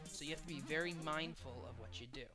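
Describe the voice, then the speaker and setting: A man's voice: a little high, fast talker. A man casually and informally walking through a video game level editor as a tutorial host. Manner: informal, profane. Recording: music behind the voice. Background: loud game music.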